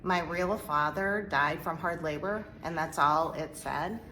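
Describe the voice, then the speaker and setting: A middle-aged woman from Korea telling her life story as an interview guest, speaking hesitantly with many pauses and fillers. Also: sits low, a little hiss or hum, rough recording. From South Korea. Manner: hesitant, halting, soft-spoken with many fillers. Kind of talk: personal testimony interview.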